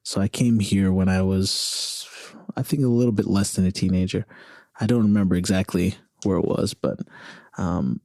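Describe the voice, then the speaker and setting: A man with a low, mellow voice speaks as a guest in a casual interview. He feels his way through sentences, often restarting and correcting himself. The sound is close and dry, with very little room around it. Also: fairly even intonation, creaky, no room sound. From southern Africa (South Africa, Zambia) and Virginia, US. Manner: halting, self-correcting, thinking aloud. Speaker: interview guest, singer-songwriter.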